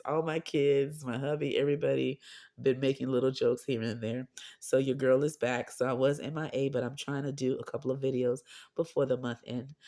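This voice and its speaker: Woman hosting her budget channel with a sore throat, husky and deep, chatty, keeps asking okay?. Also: warm tone. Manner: pushes her voice out to sound clearer; chatty, often asks viewers okay or right. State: hoarse, losing voice from a cold. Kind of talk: casual chat to camera.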